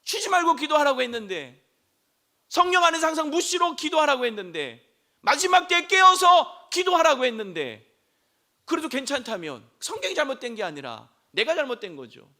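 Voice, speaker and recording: A man preaching a sermon as senior pastor, hoarse from a throat cold, asking the congregation questions and rising to shouts. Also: very high-pitched, very animated, moderate pace. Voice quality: gravelly.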